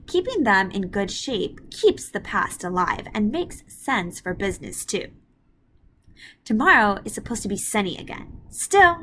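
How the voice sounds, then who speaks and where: A woman's voice: mid-range voice, slight background noise, low-quality recording. A woman teacher leading a read-aloud reading lesson for children, explaining things step by step in an instructive way. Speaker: elementary school teacher.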